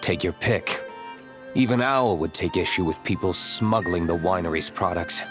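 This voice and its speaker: A man's voice: deep voice, normal speed, lightly acted. A man playing a cool, shrewd, self-assured winery agent in a video game, speaking in a dry, unruffled way. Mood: cool, confident. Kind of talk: video game dialogue acting. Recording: clear music behind.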